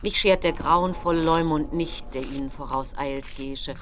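Master wheelwright, period radio drama, lowish register, earnest, trusting, old-fashioned formality. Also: female voice, normal speed, fairly bright tone, a little hiss or hum. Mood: earnest, reassuring. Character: trusting, plain-spoken master wheelwright, an expectant father.

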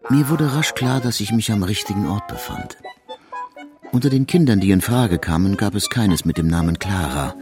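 A very deep-voiced, dry police detective. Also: male voice, animated. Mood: matter-of-fact. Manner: measured, formal, probing. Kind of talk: radio crime drama dialogue and first-person narration. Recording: music under the voice.

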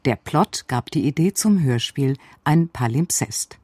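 Male radio presenter, dark warm tone, slightly rough, measured scripted delivery, dry studio. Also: mid-range voice, expressive, no echo. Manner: measured, explanatory. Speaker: radio presenter introducing a play. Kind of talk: scripted introduction. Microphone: close studio microphone.

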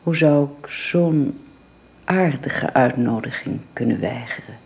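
A woman playing a lonely, dignified older mother-in-law in a radio drama, with a measured, genteel manner. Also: rumbling low, gravelly, a little mellow, a little hiss or hum, heavily acted. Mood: surprised, gracious. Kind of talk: naturalistic radio drama acting.

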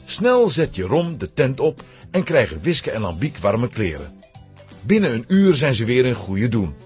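A man narrating a scripted children's adventure story in an expressive storytelling manner. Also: mid-range voice, measured pace, soft background music.

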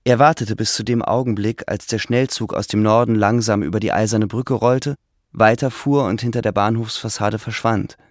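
Radio drama narrator: male, lower-pitched, literary scripted delivery, close dry studio sound. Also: steady tone, moderate pace, no echo.

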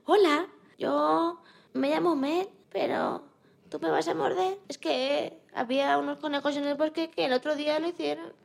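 A woman acts out a timid little bunny girl in a children's radio play. The voice is very high and creaky, childlike and plaintive, trusting like a small abandoned pet. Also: steady tone, moderate pace. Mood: timid wonder. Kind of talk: amateur radio-play acting of a children's story.

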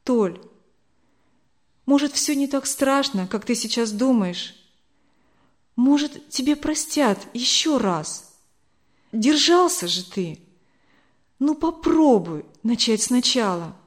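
A woman's voice: a little high, expressive, slow pace, long pauses. A woman voicing an anxious wife in an audiobook, pleading with insistent emotion. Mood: gentle pleading.

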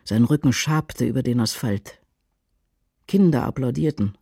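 A man reciting poetry for a radio drama in a grave, measured, declamatory way. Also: medium pitch, vocal fry, mellow, slightly rough, no echo, clean studio sound. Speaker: reader in a radio adaptation of a poem cycle. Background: music.

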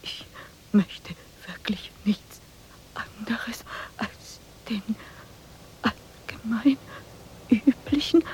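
An actress playing a dreaming, distressed woman in a German radio drama, halting and often breathy, half whispering. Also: on the high side, a little mellow. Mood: anguished, pleading. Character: dreaming, distressed woman pleading for an upright walk.

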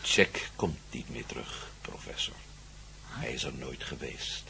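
A man's voice: a bass voice, animated, measured pace, vocal fry, sharp tone, slight background noise, full character voice. A man acting in a Dutch radio drama as an embattled, urgent and baffled adventurer hero. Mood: bitter, resigned.

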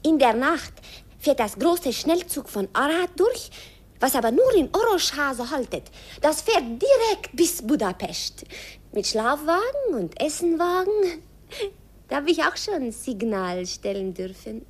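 A lively country girl. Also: high voice, measured pace. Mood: teasing. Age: young adult. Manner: playful, broken German grammar. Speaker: Piroschka, station master's daughter. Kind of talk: 1950s radio play acting.

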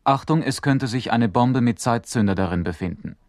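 Bulletin announcer male actor: formal, sober, urgent, mid pitch, very dry studio sound. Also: moderate pace, a little mellow, no room sound, natural voice. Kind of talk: formal news bulletin delivery.

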